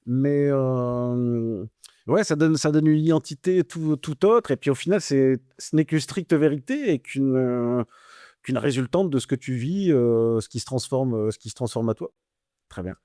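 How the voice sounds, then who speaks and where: A man with a northern French ch'ti accent recounts his years abroad in a lively, slangy manner, stumbling through restarts and fillers. His voice is mellow and dark, and it sits dry and close in the room. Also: medium pitch, measured pace, dry recording. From northern France. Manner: animated storyteller, slangy, many restarts and fillers. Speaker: guest. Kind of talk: casual interview conversation.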